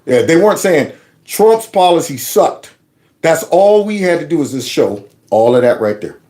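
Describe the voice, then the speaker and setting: A man with a fairly high voice delivers loose, off-the-cuff commentary. He mocks his targets with a sarcastic, animated edge and drops into joking voices. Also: moderate pace. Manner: animated, mocking, slips into put-on voices. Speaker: commentary vlogger. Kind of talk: casual political commentary.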